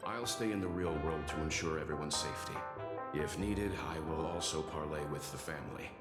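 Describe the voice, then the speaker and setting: A serious, protective male mentor in a video game. His voice is very deep and composed, with deliberate phrasing. It echoes in a room, with loud music underneath. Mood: grave, composed. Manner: measured, serious, deliberate wording. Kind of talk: scripted video game dialogue. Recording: roomy echo with music underneath.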